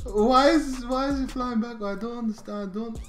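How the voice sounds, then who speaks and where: A man playing a soccer game with a friend, excitably commentating and laughing as he goes. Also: extremely high pitch, moderate pace, faint music behind. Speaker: gaming channel co-host. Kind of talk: casual gameplay commentary. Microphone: desk mic, no camera.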